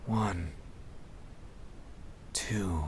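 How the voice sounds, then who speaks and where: A man speaking softly and soothingly, often slipping toward a whisper, as he gently guides breathing in a sleep-aid ASMR style. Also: sits low, drawn-out words, a little hiss or hum. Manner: soft-spoken, soothing, often dropping into whispers. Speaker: ASMR creator guiding breathing exercises.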